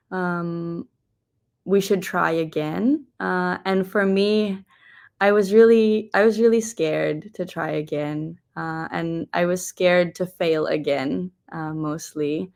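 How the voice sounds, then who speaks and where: A woman's voice: fairly low pitch, steady tone, measured pace, creaky. A young woman from New Zealand, a pageant contestant, answering interview questions in a reflective, earnest way and slipping in Filipino phrases.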